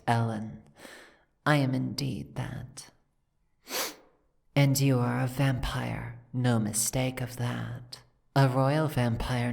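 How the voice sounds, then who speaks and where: Woman voicing a very deep, regal dragon princess. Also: gravelly, a little mellow, full character voice. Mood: stern, commanding. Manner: formal, imperious, measured. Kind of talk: scripted fantasy audio roleplay.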